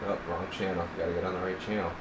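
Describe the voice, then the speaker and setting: A man with a very low voice talks casually through his bench work like a repair technician. His delivery is informal and rambling, explaining as he goes. A steady noise sits clearly behind him in the workshop recording. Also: racing. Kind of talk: casual hands-on demonstration vlog. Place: indoor radio repair workbench.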